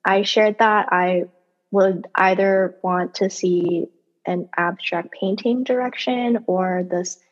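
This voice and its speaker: A woman whose first language is Cantonese, an author and audiobook narrator answering interview questions in a thoughtful, measured way with light laughs. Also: mid-range voice, fairly even intonation, muffled recording.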